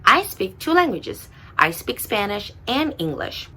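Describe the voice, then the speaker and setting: Husky Puerto Rican woman reading to children. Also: medium pitch, big pitch swings, unhurried, sharp tone, poor audio. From Puerto Rico. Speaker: storyteller reading aloud to children. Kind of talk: children's picture-book read-aloud.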